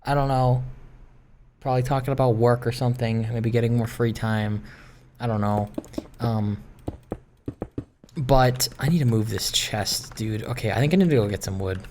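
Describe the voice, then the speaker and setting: A gaming video creator chatting casually while playing, rambling in a hesitant, halting way and often trailing off. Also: medium pitch, a little mellow. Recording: clearly noisy, with clicks.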